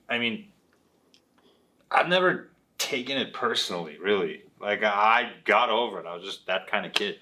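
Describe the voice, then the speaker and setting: Chatty young man, lively voice. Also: medium pitch, recorded from a distance. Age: 20s. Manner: talkative, lots of fillers. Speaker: interview participant. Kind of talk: casual group discussion.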